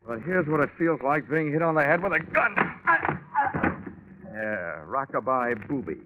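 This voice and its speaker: An American actor playing a wry, sardonic tough investigator in 1940s radio detective style, with deadpan quips. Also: male voice, mid-range voice, unhurried, phone-quality sound, very noisy. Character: wry, wisecracking tough male private investigator. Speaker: radio drama lead actor. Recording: band-limited 1940s radio recording with music.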